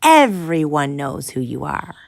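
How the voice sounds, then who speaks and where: A woman voicing a gruff, bossy male guard who barks out orders. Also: low voice, big pitch swings, a little slow, heavily acted. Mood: barking. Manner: barking and dismissive.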